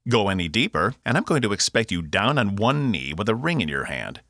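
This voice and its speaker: A man performs a cheeky Cockney robot from a sci-fi audiobook. He is vain and theatrical, swinging widely in pitch with a bright, forceful edge. His manner is grandiose and teasing. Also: sing-song, fairly quick, no room sound, studio quality.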